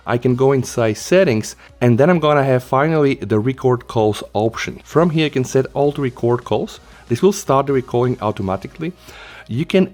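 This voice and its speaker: A man's voice: medium pitch, a little mellow. A man narrating a step-by-step tech tutorial in a casual, instructional way, stressing the key points. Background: background music.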